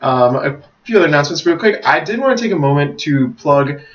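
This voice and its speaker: An American man co-hosting a podcast, making casual announcements and explaining things in a measured way. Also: a little high, expressive. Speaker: podcast co-host. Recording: muffled, slightly distant microphone.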